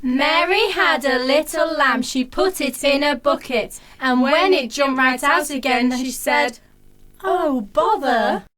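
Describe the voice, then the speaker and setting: A woman's voice: high-pitched, slow pace, a bit bright. A woman playing a mischievous, irreverent English street chorus, reciting rhymes and verse narration in a radio play. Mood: sing-song, wry.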